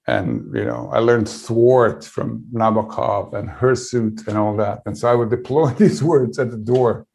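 A man in his fifties with a Bosnian accent, a native Bosnian speaker telling a reflective story as a panelist, with frequent pauses and restarts. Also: lowish voice, gravelly, a little mellow. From Bosnia and Herzegovina. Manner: thoughtful, with many pauses and restarts.

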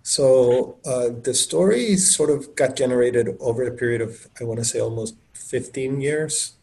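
A man's voice: mid-range voice, moderate pace, vocal fry, mellow. A middle-aged man from Colombia, an author speaking as a guest over a video call, enthusiastic and rambling with many fillers. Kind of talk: casual conversational talk.

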